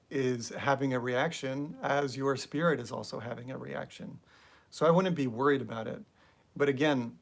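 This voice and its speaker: Laid-back man chatting on a live stream. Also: mid-range voice. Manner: relaxed, rambling, with many fillers; reads viewer comments aloud. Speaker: spiritual channel host. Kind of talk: live-stream chat answering viewer comments.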